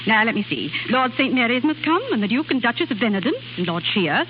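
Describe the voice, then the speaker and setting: A woman's voice: on the high side, very animated, fast. An actress playing a gracious, worldly, managing society lady in 1930s radio style. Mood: brisk, managing. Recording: dull, noisy 1930s radio recording.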